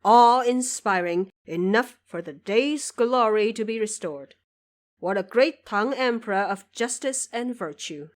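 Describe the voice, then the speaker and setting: A woman's voice: fairly high pitch, animated, unhurried. A woman narrating a scripted fantasy audio drama as a storyteller.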